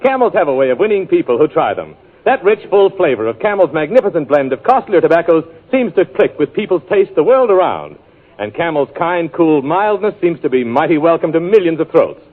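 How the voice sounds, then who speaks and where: Old time radio ad guy, lively and a bit high, thin band sound. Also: a little fast, narrow, tinny audio. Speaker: announcer. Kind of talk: 1940s radio advertising pitch. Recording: thin 1940s radio broadcast.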